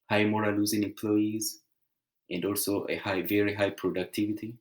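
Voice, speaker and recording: A young man from Ethiopia, giving an earnest, measured prepared presentation with small restarts. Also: on the low side, darkish tone, low-quality recording.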